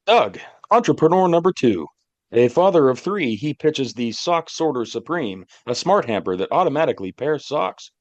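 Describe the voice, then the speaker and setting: A man's voice: medium pitch, big pitch swings, normal speed, no room sound, lo-fi sound. A man reading scripted character introductions for an audio play.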